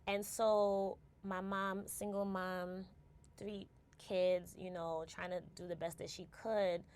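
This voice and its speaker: A woman's voice: lowish voice, flat delivery, takes it slowly, a little mellow, clear, resonant tone. A woman, a guest reflecting in a casual interview and often checking in with "right?". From United States. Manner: reflective, tags phrases with "right?" and restarts words. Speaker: guest, company founder.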